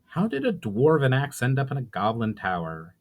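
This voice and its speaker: A man's voice: mid-range voice, wildly swooping pitch, moderate pace, a little mellow. A man playing a proud, courteous male elven adventurer in a fantasy audiobook, speaking in a formal, earnest way. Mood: wry surprise. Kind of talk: dramatic fantasy audiobook reading.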